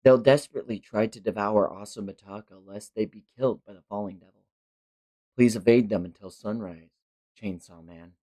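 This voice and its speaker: A man voicing an ominous, formal manga devil who speaks in a measured way. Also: lowish voice, mellow, voice pushed down, natural voice, low-quality recording.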